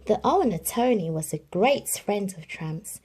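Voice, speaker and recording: A woman reading a children's picture book aloud, telling the story expressively with dramatic pauses. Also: mid-range voice, animated, a little mellow, breathy edge. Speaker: narrator.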